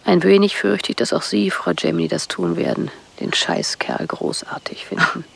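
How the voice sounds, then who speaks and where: A woman playing a bitter, hunted wife from a psychiatric clinic in a radio play, terse and intense, her voice husky and breathy, often near a whisper. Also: lowish voice, big pitch swings, moderate pace, heavily acted. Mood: bitter, weary.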